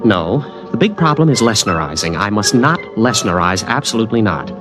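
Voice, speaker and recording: A young man narrates with a wry, sardonic lilt, his pitch moving freely up and down. Music plays clearly under him, on an old radio broadcast recording. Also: expressive, a little fast. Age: young adult. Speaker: narrator and lead character, a student. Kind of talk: 1950s radio drama acting.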